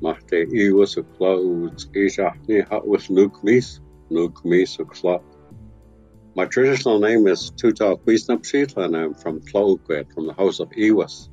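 Deep, mellow-voiced man in his sixties. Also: husky, highs cut off, soft background music. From west coast of Vancouver Island, Canada. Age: 60s. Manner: slow, gentle, unhurried telling with soft chuckles. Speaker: guest, carver and elder. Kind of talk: reflective storytelling. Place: his home and carving workshop.

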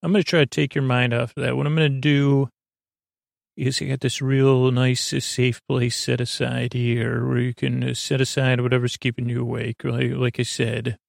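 A man talks as the host of a bedtime podcast in a notably creaky, mid-pitched voice. He rambles in a soothing, gently whimsical way, often stammering and drifting into tangents. The sound is dry, with no room echo. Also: little pitch movement. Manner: halting, stammering, trailing into tangents. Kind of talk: rambling, meandering sleep-podcast monologue.

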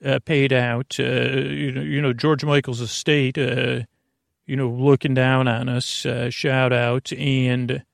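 A man hosting a podcast in a meandering, halting monologue, often trailing off mid-thought with a creaky, gravelly voice. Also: mid-range voice, flat delivery, no room sound, clean studio sound. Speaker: podcast host.

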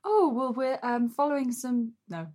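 A woman's voice: sits high, lively intonation, normal speed, heavy background noise. A woman as a player in a tabletop game, giving flustered, giggly replies in character.

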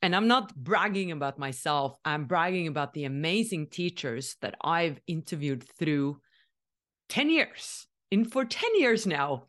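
Chatty woman from Norway, low voice. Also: big pitch swings, measured pace, fairly bright tone. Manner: enthusiastic, chatty, many "like"s, laughs. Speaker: podcast host and interviewer. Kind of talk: casual podcast intro monologue.

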